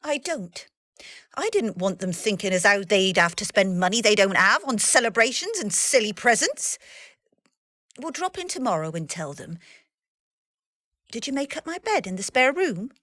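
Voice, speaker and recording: An actress playing a practical, affectionate country wife in a period audio drama, teasing, with rustic dialect phrasing. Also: female voice, medium pitch, sing-song, moderate pace, bright, forceful tone, no echo, studio quality. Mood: matter-of-fact. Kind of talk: period radio drama dialogue.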